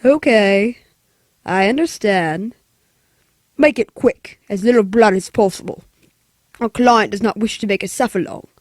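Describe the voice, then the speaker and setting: A woman voicing a calm, businesslike male hired killer in a 1940s-style radio play, with a flat, matter-of-fact delivery. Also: mid-range voice, unhurried, long pauses, no room sound, voice pushed down, lightly acted. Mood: cold, calm.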